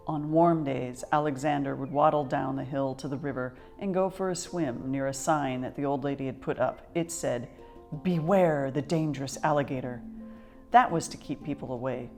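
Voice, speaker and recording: A woman reading a children's picture book aloud as a storyteller, with expressive, measured delivery. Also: sits low, vocal fry.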